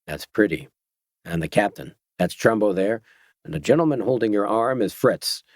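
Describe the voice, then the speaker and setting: A man's voice: on the low side, expressive, normal speed, no echo, clean studio sound. A man reading a story, voicing a menacing biker gang leader who talks in a soothing, mock-polite, folksy way with ungrammatical speech.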